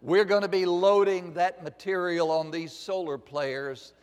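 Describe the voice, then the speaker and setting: A man speaks slowly and earnestly from the front of a church, his voice sitting a little high for a man. Long reverb from the large hall trails after each phrase. He often repeats words as he finds his way. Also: fairly even intonation, clear, resonant tone. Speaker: missionary ministry speaker. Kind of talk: church announcement address.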